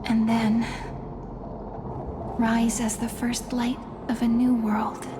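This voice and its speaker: A woman's voice: on the high side, monotone, takes it slowly, background noise. A woman playing an earnest, hopeful, resolute young heroine in a dramatic game scene. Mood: determined, tender.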